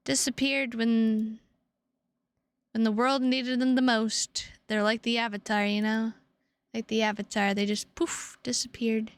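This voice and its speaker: A tired woman chatting casually to her livestream viewers in a halting, rambling way with drawn-out words. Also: on the high side, flat delivery, no room sound. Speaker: streamer.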